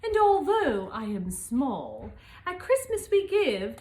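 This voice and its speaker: Woman voicing a plucky little storybook cat, high-pitched. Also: big pitch swings, slow, dark tone, reverberant, exaggerated voice. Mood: determined. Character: small, plucky, cheerful storybook cat hero.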